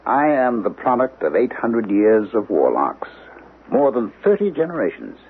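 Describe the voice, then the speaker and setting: A weary forty-something writer on old radio. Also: male voice, medium pitch, big pitch swings, normal speed, slightly rough. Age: 40s. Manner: wry, musing, drifting into gloom. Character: weary 42-year-old male writer, brooding. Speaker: narrator and lead. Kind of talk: 1970s radio drama acting. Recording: tinny lo-fi old radio broadcast with faint hiss.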